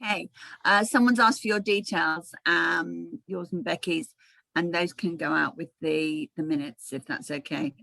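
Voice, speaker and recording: Bright, low-voiced woman chairing. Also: steady tone, unhurried, creaky, dry recording, low-quality recording. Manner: friendly, hesitant with fillers. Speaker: meeting chair. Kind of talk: chairing an online meeting. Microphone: video call.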